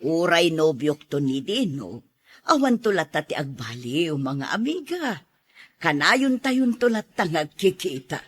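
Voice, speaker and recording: A woman plays a bashful mother of about fifty in a radio drama. She has a husky voice with lively swings in pitch and an Ilocano accent, recorded dry. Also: a little slow, no echo, full character voice. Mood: warm, hesitant. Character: widowed mother around fifty, bashful about new romance.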